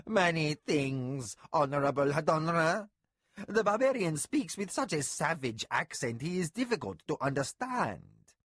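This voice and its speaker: A man's voice: on the high side, sing-song, unhurried, fairly bright tone, no echo, studio quality, pitched above the performer's own voice, full character voice. A man voicing an anxious male overseer who pleads and protests in a whining voice. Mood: aggrieved. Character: anxious male overseer servant, fawning and aggrieved.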